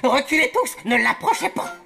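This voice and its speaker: Theatrical cartoon quack doctor, wildly swinging delivery. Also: male voice, very high voice, sing-song, moderate pace, creaky, over music, exaggerated voice. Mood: alarmed urgency. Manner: theatrical, patronising. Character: quack doctor, brisk, falsely cheerful, bossy and alarmist. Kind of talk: French animated cartoon dub.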